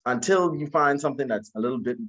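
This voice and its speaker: A man, giving an informal talk about his own story in a polite, humble way, often halting and repeating words. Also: mid-range voice, expressive, a bit bright, low-quality recording. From Bahamas. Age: 20s. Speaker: guest speaker, alumnus.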